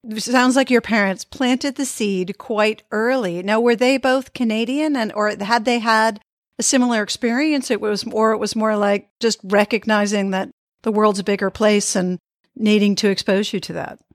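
Measured woman podcast host, dry sound. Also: medium pitch, fairly even intonation, no room sound. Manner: measured, slow introductions. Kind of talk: podcast interview hosting.

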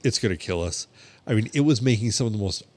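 A man's voice: lowish voice, animated, fast talker. A man co-hosting a podcast from a home garage, telling rambling stories in a casual chat and swearing freely. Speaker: podcast co-host.

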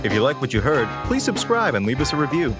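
A man's voice: medium pitch, very animated, quick pace. A man announcing podcast credits in a scripted outro read. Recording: clear guitar music behind. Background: guitar music.

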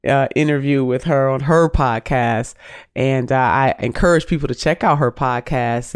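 A woman's voice: very deep voice, a little mellow, clean tone, no room sound, studio quality. A woman hosting a podcast interview, sounding nasal as she gets over a cold, talking emphatically with preacherly catchphrases. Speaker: podcast host.

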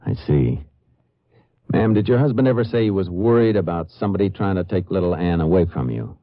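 Dogged American Texas Ranger: low, measured, probing; 1950s western radio sound, dulled with age. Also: male voice, expressive, no room sound. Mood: grave, intent. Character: calm, dogged Texas Ranger investigating a murder. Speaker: lead actor and narrator. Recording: muffled old radio broadcast, music.

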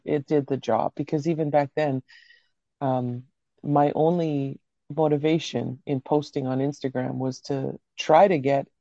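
A woman's voice: extremely low pitch, mellow, no echo, highs cut off. A woman raised in Canada, talking as a podcast guest in a candid, rambling way with casual swearing. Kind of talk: casual interview chat.

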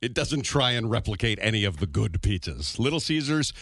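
A middle-aged male radio host with a lively, up-and-down delivery. He jokes with co-hosts and talks callers through their stories. The sound is dry and close on a studio mic. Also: fairly low pitch, fairly quick, gravelly, no room sound. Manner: animated, joking, talks to callers. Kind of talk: morning radio banter and call-in.

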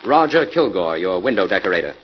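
Decisive radio sleuth, muffled recording. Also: male voice, sits low, big pitch swings, slight background noise. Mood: assured, firm. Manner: brisk, confident, commanding. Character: decisive, self-assured male crime-fighting sleuth. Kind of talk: 1940s radio drama acting.

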